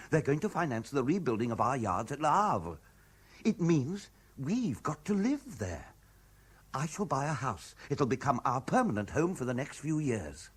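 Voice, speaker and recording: Very husky, harried British businessman. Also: mid-range voice. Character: harried, persuasive businessman husband, genteel and confiding. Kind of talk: 1970s BBC radio drama acting.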